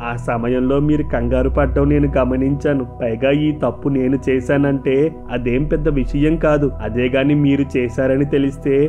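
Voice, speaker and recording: A man voicing a humble, gentle orphaned shop worker in an animated moral story, with music behind him. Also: medium pitch, moderate pace, highs cut off, close to an everyday voice. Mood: gentle, reassuring. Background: background music.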